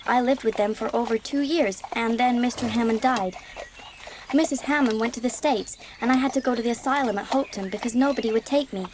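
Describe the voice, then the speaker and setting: A woman's voice: a little high, brisk, background noise, lightly acted. An actress playing an earnest, talkative, polite eleven-year-old orphan girl in a dramatised audiobook. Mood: earnest, matter-of-fact.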